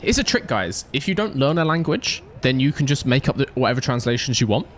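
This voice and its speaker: A man's voice: mid-range voice, sing-song, quick pace, slight background noise. A man streaming a racing game, commenting playfully and joking with his chat. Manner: playful, jokey, talks to chat. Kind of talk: live gameplay commentary.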